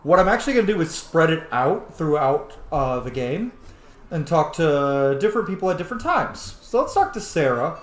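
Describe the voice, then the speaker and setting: A man's voice: a little high, sing-song, normal speed, reverberant. A man giving casual gameplay commentary, musing aloud and explaining as he plays and roleplays. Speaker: host.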